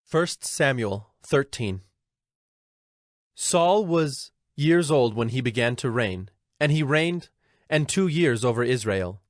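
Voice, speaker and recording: A man's voice: mid-range voice, lively intonation, slow pace, dry recording, clean studio sound. A man reading scripted scripture narration as the narrator of a dramatized audio production. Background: music.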